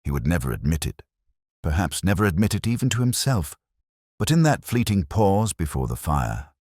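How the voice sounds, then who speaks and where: A man reading a first-person detective story as narrator, in a formal, measured storytelling manner. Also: low-pitched, sing-song, darkish tone, no echo, clean studio sound. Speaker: audiobook narrator.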